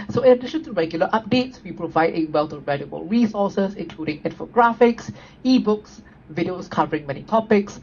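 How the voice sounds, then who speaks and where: Woman host, webinar presenter, low gravelly voice, dull lo-fi call audio with faint hiss. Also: darkish tone. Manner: upbeat, frequent tag questions. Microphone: video call.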